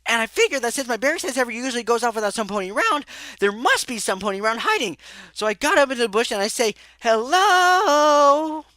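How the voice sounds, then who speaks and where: A woman's voice: on the high side, lively intonation, fairly quick, pushed and bright, no room sound. A woman playing a perky, scatterbrained young male pony in a fan audio drama, bubbly and rambling. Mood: cheerful rambling.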